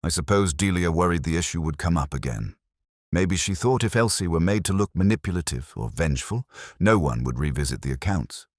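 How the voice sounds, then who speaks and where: Man voicing anxious, soft-spoken village woman, lively pitch. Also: fairly quick, no echo, studio quality. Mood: uneasy. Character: ashamed, frightened village woman confessing quietly. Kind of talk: audio mystery story.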